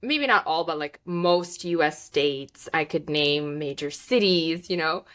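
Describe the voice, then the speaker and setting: A woman's voice: low voice, a little slow, a bit bright. Chatty woman host. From Moldova. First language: Russian. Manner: chatty, searching for words, many fillers. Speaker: podcast host. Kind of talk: casual interview chat.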